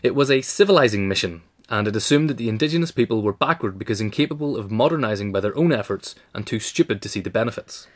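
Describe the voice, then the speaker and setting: A man's voice: fairly low pitch, lively intonation, fast talker, hardly any pauses. A man narrating a scripted essay as its reader.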